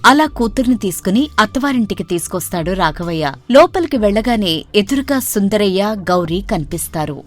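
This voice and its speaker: A woman narrating a moral story in a steady storytelling manner. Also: mid-range voice, moderate pace, hardly any pauses, faint music behind.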